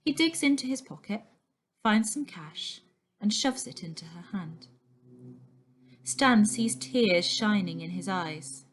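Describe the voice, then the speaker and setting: A woman's voice: medium pitch, expressive, slow pace, slight background noise. A woman reading a children's novel aloud as scripted narration. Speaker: story reader.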